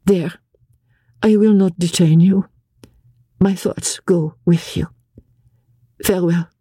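A reader voicing an anxious young noblewoman who pleads with her husband in quick, nervous speech. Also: mid-range voice, steady tone, mellow, husky, dry recording, clean studio sound, voice pushed up. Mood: feverish, pleading. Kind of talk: audiobook character voice.

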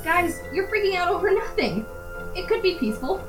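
A woman's voice: extremely high pitch, big pitch swings, brisk. A woman acting in an amateur audio drama as a headstrong, enthusiastic know-it-all diver who explains things earnestly. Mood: insistent. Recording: roomy sound with music underneath.

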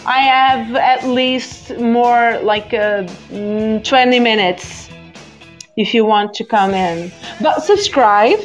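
A woman from Portugal with a Portuguese accent, a native Portuguese speaker, hosting a casual live call-in show in a halting way with repeated words. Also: fairly high pitch. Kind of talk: casual live chat with listeners. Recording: dull lo-fi app audio with music. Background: music.

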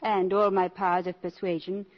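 An actress playing a poised, worldly, scheming countess with an elegant manner in a 1950s radio drama. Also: female voice, fairly low pitch, little pitch movement, moderate pace, close to an everyday voice. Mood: poised, pleased. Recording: muffled 1950s radio broadcast.

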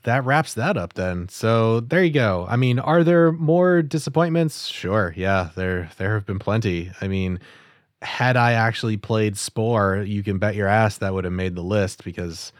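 A man's voice: fairly low pitch, a little slow, no room sound, studio quality. A man hosting a gaming podcast, chatting in an easygoing way and plugging the show. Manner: easygoing, chatty, many fillers. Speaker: podcast host. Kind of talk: casual podcast chat and outro.

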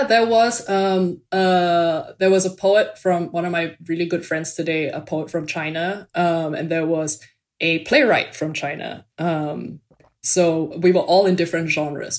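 A woman, talking casually as an interviewed author and filling her speech with "like" and "um". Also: deep voice. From Singapore. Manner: chatty, many "like" and "um" fillers. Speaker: author guest.

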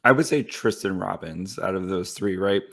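A man who is a podcast guest, his voice raspy after losing it, chatting casually about hockey. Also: low-pitched, moderate pace, a bit bright. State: raspy, partly lost voice. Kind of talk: casual chat.

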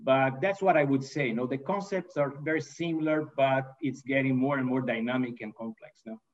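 A middle-pitched man in his fifties speaks English with a Brazilian Portuguese accent, pausing often and tagging on "you know" as he thinks aloud. He sounds set back from the microphone on a dull, lo-fi remote call line. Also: far from the mic. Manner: hesitant, many fillers and restarts, frequent "you know". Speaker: manufacturing executive guest. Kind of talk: conversational interview answers.

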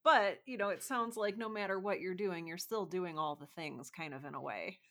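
A woman with a slightly low voice hosts a conversational interview. She phrases her questions reflectively, often drawing on her own perspective before handing over to her guests. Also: moderate pace, a bit bright. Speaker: podcast host and interviewer.

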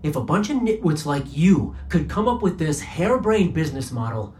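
An exasperated, animated bank robber. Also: male voice, fairly high pitch, moderate pace, slight background noise. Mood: fed up, determined. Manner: sarcastic, blunt, exasperated. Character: exasperated bank robber on the run, blunt and irritable. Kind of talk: comedic audio drama acting.

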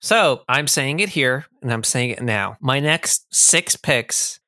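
A man's voice: mid-range voice, lively intonation, slow, sharp tone, no echo, clean studio sound. A man hosting a casual movie review podcast, bantering wryly with his co-host. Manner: wry, bickering with co-host.

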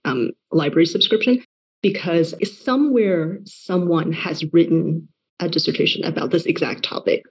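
A woman's voice: lowish voice, slightly rough, low-quality recording. A young adult woman from Taiwan, a novelist talking about her book in a casual interview, full of fillers and restarts. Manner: chatty, many fillers and restarts. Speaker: novelist guest.

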